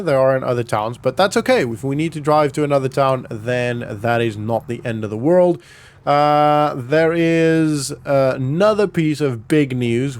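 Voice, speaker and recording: Guy doing a chatty gaming vlog, smooth clear voice, medium pitch, kinda rambles and corrects himself. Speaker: gaming video host. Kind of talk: casual roleplay vlog commentary while playing.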